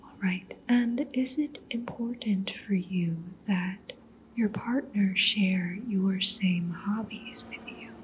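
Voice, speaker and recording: A woman's voice: medium pitch, slow pace, a little hiss or hum, lightly acted, low-quality recording. Woman playing a whispering, discreet matchmaker. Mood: calm, businesslike. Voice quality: breathy whisper. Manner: gentle, professional, attentive. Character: polite, discreet cyberpunk matchmaker woman interviewing a client. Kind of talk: soft-spoken ASMR roleplay.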